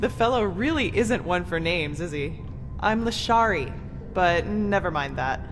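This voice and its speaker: A woman's voice: fairly low pitch, animated, unhurried. A woman voicing a blunt, sarcastic, foul-mouthed female mage researcher in a video game. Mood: wry, dismissive. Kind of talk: video game character dialogue. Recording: reverberant hall, loud music behind.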